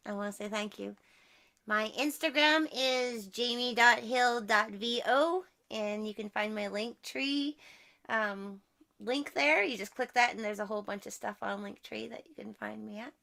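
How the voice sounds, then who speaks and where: A woman's voice: fairly high pitch, a little slow, vocal fry, bright, forceful tone. A woman voice actor with a childlike, cartoonish natural voice, casually introducing herself to a group.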